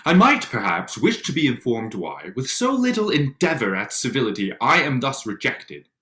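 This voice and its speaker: An actor playing a proud, stiff Regency gentleman and awkward suitor in a staged reading, with formal, halting delivery. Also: mid-range voice, wildly swooping pitch. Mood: wounded, offended. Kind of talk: staged reading of a period drama. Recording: echoey room over online call.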